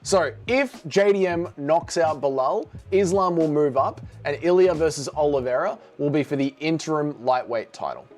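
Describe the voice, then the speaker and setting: A brash middle-aged man chats on a live stream, sarcastic and quick to swear as he reads out viewer comments. His voice sits a little high for a man, with faint music playing behind him. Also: lively intonation, a little mellow. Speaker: streamer reacting to chat. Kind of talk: casual livestream chat.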